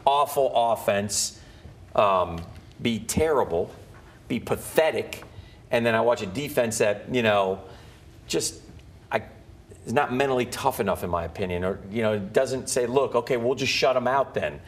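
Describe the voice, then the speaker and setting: A man's voice: mid-range voice, animated, normal speed. Frustrated man venting about his team. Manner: frustrated fan, repetitive restarts. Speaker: sports podcast co-host. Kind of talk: casual sports talk.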